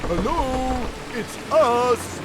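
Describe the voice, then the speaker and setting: Slow-talking, high-pitched hearty big Gaul. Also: male voice, lively intonation, very noisy, exaggerated voice. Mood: gleeful. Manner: blunt, jovial, naive. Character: big hearty dim brawny Gaul warrior, good-natured and hungry. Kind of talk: video game cartoon acting.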